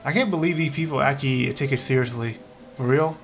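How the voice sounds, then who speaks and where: A man giving casual, halting reaction commentary as a game streamer, mumbling his asides. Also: mid-range voice. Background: game music.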